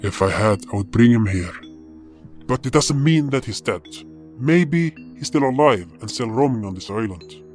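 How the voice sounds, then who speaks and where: A man's voice: medium pitch, animated, moderate pace, a little mellow, lightly acted. A man playing a solemn, powerful guardian hero who narrates his journey in a fantasy audio drama. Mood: grave. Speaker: narrator and lead actor. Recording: music behind the voice. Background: music.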